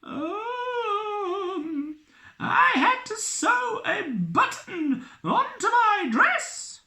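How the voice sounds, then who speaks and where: A storyteller voicing a giggly, high-pitched woman who chirps her lines. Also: big pitch swings, extremely slow, pushed and bright, echoey room, exaggerated voice. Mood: solemn.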